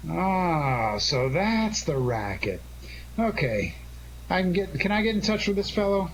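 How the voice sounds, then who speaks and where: A cocky, wry detective on the run played by a man, with a lightish, higher male voice. He talks tough and breezy in old-time radio drama fashion, over clear background noise. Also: big pitch swings, measured pace, exaggerated voice. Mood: confident, tough. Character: cocky, wisecracking male amateur sleuth on the run.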